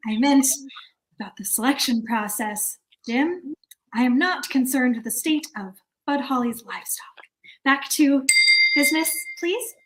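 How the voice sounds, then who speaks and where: Woman playing a prim, high-voiced committee chairwoman. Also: expressive, low-quality recording. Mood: flustered, exasperated. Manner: formal, fussy, halting. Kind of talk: amateur staged play reading.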